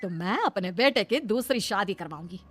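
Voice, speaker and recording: A woman's voice: mid-range voice, lively intonation, normal speed, fairly bright tone, soft background music, lightly acted. A woman voicing a domineering older mother-in-law in a cartoon family drama, commanding and melodramatic, given to scolding. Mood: stern, insistent. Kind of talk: dramatised cartoon story dialogue.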